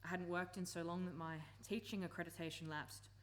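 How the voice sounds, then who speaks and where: A woman's voice: lowish voice, fairly even intonation, brisk, natural voice. A woman acting an earnest, persuasive campaigner and former country teacher in a live radio play. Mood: earnest, sorrowful.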